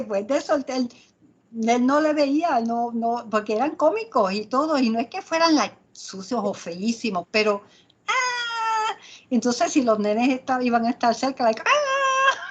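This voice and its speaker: Woman, group leader, bright higher voice, dull call sound. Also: husky. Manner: mixes in English fillers, laughs often. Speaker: Bible study group leader. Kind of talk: group discussion moderating. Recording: muffled video call audio.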